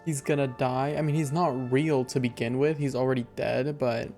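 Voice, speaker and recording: A man's voice: medium pitch, fairly even intonation, normal speed, a little mellow, soft background music. Casual man streaming a game. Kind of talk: casual live commentary.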